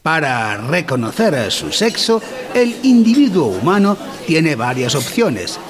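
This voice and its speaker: A man's voice: a little high, big pitch swings, unhurried, crowd noise. A man playing a pompous instructor in a mock-educational radio play, with formal, didactic delivery. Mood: solemn, didactic. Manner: formal, didactic, solemn wording. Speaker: narrator in an audio drama.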